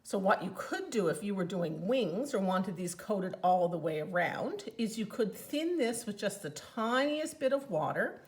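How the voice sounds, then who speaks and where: Woman, Newfoundland accent, lively sing-song voice, upbeat cooking demo chat, home kitchen. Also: mid-range voice, unhurried, hardly any pauses. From Newfoundland, Canada. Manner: chatty, upbeat, enthusiastic about flavor. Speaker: cooking product consultant.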